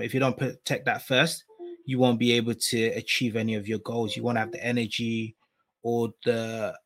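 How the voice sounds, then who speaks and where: A man with a mid-pitched voice talks through his ideas reflectively. He keeps tagging his points with "right?" to draw the listeners along. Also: a bit bright. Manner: reflective, checks in with "right?" often. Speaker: panel guest. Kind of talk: casual discussion.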